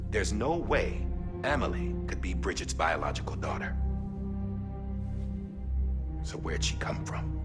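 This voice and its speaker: An actor playing a grim middle-aged man recording a measured confession in a video game cutscene. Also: deep voice, full character voice. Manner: grave, measured confessional monologue. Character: grim middle-aged male director, resolved. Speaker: agency director recording a confession. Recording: game score playing loudly behind.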